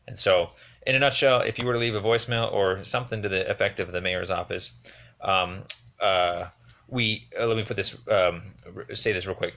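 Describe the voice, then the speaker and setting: Low creaky guy on a call, earnest rambling explanation of his complaint, kinda halting. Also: a bit bright. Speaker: caller making a complaint. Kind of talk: phone conversation, explanatory account.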